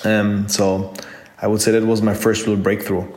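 A man in his thirties with a fairly low voice tells his story as an online entrepreneur. He sounds earnest and keen, and often pauses on fillers as he finds his words. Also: little pitch movement, a little mellow. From Austria. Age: 30s. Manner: earnest, enthusiastic, with frequent fillers and restarts. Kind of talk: personal story told to camera.

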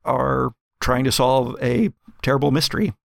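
Gravelly man running a tabletop game. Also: medium pitch, steady tone, moderate pace, dry recording, clean studio sound. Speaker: game master. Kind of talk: casual tabletop game narration.

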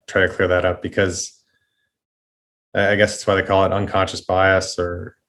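A man's voice: deep voice, monotone, normal speed, a bit bright. A man appearing as a podcast guest, talking hesitantly and apologetically in casual conversation, often repeating his words.

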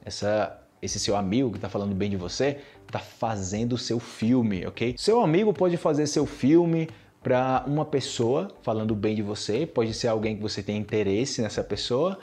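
Brief: young Brazilian male teacher, Brazilian Portuguese accent, mid-pitched, expressive, acts out phrases. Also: moderate pace. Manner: animated, acts out everyday phrases with big pitch jumps. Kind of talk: lively language lesson acting out expressions.

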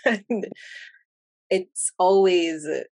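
A woman with a Jamaican accent speaks in a notably low, even voice. She talks in a thoughtful, measured way, close to the mic in a dry room. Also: dry recording. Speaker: English teacher and interviewer. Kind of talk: conversational interview.

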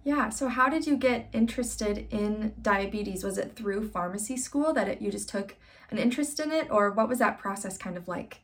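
A woman's voice: mid-range voice, moderate pace, recorded from a distance. A young woman hosting a casual interview. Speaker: interview host.

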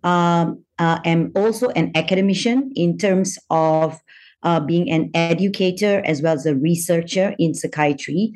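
A middle-aged woman from Malaysia speaks in an unhurried, deliberate way. Her voice sits a little low, and she thinks aloud with soft ums and pauses. She is a psychiatrist answering interview questions. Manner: measured, thoughtful, frequent ums. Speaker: psychiatrist guest.

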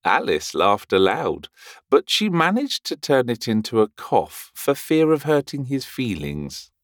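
A man reading a children's story aloud as an expressive narrator. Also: medium pitch, a little slow, no echo, studio quality. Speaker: volunteer audiobook reader.

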